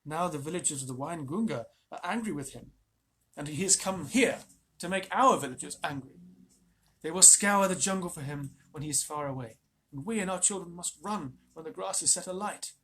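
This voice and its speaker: A reader voicing a wise mother wolf from a storybook, quiet and measured. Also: medium pitch, slightly rough, rough recording. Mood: calm. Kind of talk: storybook reading.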